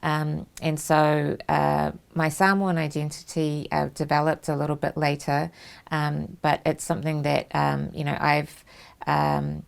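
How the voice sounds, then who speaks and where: A woman with a New Zealand accent hosts a casual studio talk show. Her voice sits low and carries a heavy gravelly fry, and she speaks reflectively, pausing often on fillers as she recalls her upbringing. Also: level tone. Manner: warm reflective storytelling with many fillers. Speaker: host. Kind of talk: casual interview chat.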